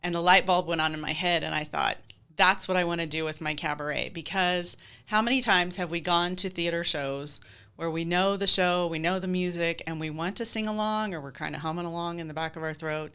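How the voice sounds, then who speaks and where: A woman's voice: on the low side, fairly quick, fairly bright tone, clean tone. A woman chatting casually as an interview guest, explaining things with enthusiasm. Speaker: podcast guest, theater director.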